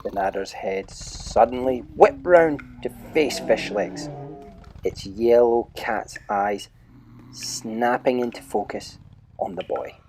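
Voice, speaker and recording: A man narrating a children's adventure story in a measured, dramatic way, dropping to a hush at tense moments. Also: medium pitch, expressive, a little hiss or hum. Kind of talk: audiobook-style storybook narration.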